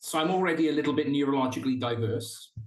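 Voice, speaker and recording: A Welsh man with a fairly high voice, talking earnestly as a podcast guest and restarting his thoughts often. The room around him rings noticeably. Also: fast, roomy sound, low-quality recording. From South Wales. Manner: earnest, with frequent restarts and stumbles. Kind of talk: casual interview chat.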